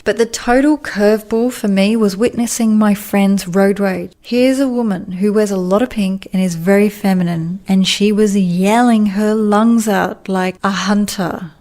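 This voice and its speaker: A woman's voice: mid-range voice, fairly even intonation, unhurried, few pauses, dark tone. Earnest Australian woman telling a story. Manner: earnest, reflective storytelling with rhetorical questions. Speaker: podcast host, communication coach. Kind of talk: podcast monologue, personal story.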